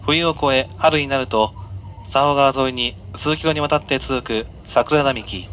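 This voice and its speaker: A male train conductor speaks in a bright, forward voice at a middle pitch. He gives formal, polite on-board announcements over the PA, with faint train noise behind him. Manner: polite, measured, formal delivery. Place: inside a moving train, over the announcement system. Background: faint train running noise.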